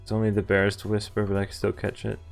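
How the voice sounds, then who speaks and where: A man's voice: fairly low pitch, monotone, fast, gravelly. A man streaming a visual novel, reading it aloud and adding casual, playful, reactive commentary. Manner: playful, reactive, acts out dialogue. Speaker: game streamer. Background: game music.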